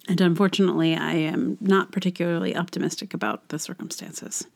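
A woman's voice: on the low side, steady tone, a little fast, creaky. A middle-aged woman hosting a podcast, chatting casually on her own in a wry, self-deprecating way. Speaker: podcast host and musician. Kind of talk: casual solo podcast monologue.

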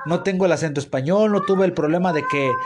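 A man's voice: high voice, fast talker. A man in his thirties with a Mexican accent, hosting a casual podcast chat and rambling in slang with lots of restarts. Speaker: podcast host. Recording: noisy home podcast recording.

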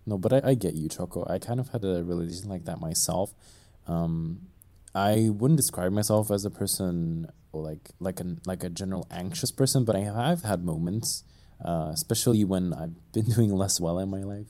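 A man in a casual group chat, talking in a halting, reflective way with many fillers. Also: deep voice, warm tone.

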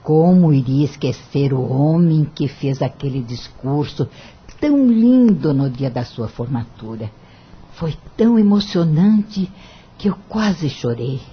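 Slow, very husky, tender mother. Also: low-pitched, lively intonation, heavily acted. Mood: fond, encouraging. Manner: tender, unhurried. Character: gentle, admiring mother of a grown son. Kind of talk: radio drama acting. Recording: dull, lo-fi radio recording.

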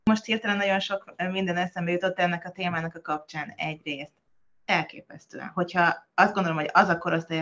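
A woman's voice: deep voice, a bit bright. Hesitant woman psychologist, muffled sound. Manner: thoughtful, many hesitations and restarts. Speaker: child psychologist guest. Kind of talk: conversational expert explanation.

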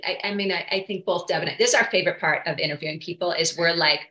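A woman's voice: on the low side, racing, pushed and bright, roomy sound. A woman co-hosting a podcast interview, thoughtful and searching for her words. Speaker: podcast co-host. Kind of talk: conversational interview.

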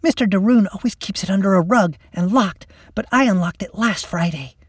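A narrator voicing a bright, bossy, scheming schoolgirl in an audiobook, excitable and urgent. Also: mid-range voice, a little fast, husky, dry recording, clean studio sound, pitched above the performer's own voice, natural voice.

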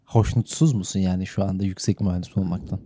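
A man's voice: low-pitched, fairly even intonation, fast, mellow, dry recording. A man hosting a chatty podcast, speaking in playful, tongue-in-cheek and elaborately formal phrases. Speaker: podcast host. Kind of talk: playful banter with a mock-formal radio introduction.

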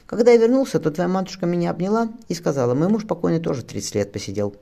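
A man's voice: high voice, animated, quick pace, creaky, dark tone, pitched above the performer's own voice. A man voicing a lonely, wistful young nobleman in a historical novel, speaking in a rueful, earnest way. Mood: grieving, rueful.

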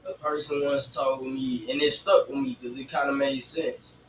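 A man's voice: medium pitch, level tone, moderate pace. A young man co-hosting a casual chat, speaking in an informal, slangy way. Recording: roomy, distant mic, faint noise.

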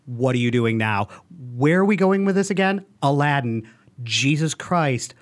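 A man co-hosting a casual movie podcast, ranting sarcastically with animated jokes. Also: mid-range voice, moderate pace. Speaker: podcast co-host.

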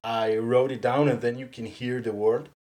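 A guest speaking English with an Israeli accent as a second language after Hebrew, chatting casually in an interview, animated and quick to laugh, with lots of "like" and restarted sentences. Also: mid-range voice.

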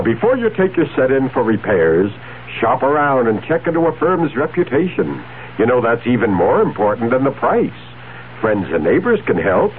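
Folksy advice man, animated pitch. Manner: reassuring, instructive. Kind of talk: 1970s radio public service announcement. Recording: narrow, tinny radio recording, faint noise.

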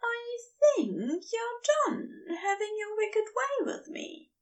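A woman voicing a tender, anxious male angel in fan fiction, soft and lilting. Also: very high voice, very animated, takes it slowly, recorded from a distance, pitched above the performer's own voice, heavily acted. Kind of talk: fan fiction audiobook character voice.

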